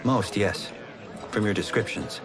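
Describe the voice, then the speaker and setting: An earnest young champion voiced by a man in a fantasy video game. His voice is fairly low, with a polite, sincere, questioning manner. Faint music sits behind it. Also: unhurried, close to an everyday voice. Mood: curious. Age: young adult. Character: earnest, respectful young male champion fighter. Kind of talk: dramatic video game acting.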